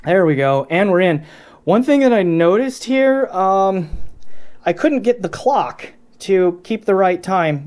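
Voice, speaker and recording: A man's voice: sits high, a little mellow. A man giving a casual, unscripted gear review, explaining things conversationally and often breaking off his own sentences. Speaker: product reviewer.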